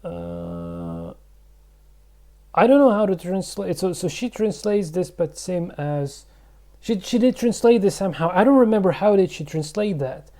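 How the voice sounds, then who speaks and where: A man's voice: high-pitched, sing-song, measured pace, dark tone. A man hosting his own channel, rambling and thinking aloud in a casual explanatory vlog, with many fillers. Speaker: channel host.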